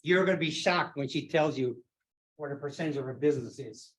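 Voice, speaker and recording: A man moderating a real estate sales meeting panel, earnest and encouraging, with halting starts. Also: fairly high pitch, poor audio. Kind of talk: casual meeting talk. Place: office meeting room.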